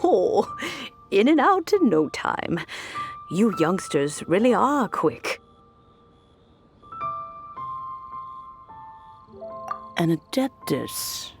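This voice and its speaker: An actress voicing a gentle, wise old granny in a video game, with an aged voice and measured, kindly phrasing. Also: female voice, medium pitch, sing-song, faint music behind, full character voice. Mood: kindly, amused. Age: older. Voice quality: creaky aged voice.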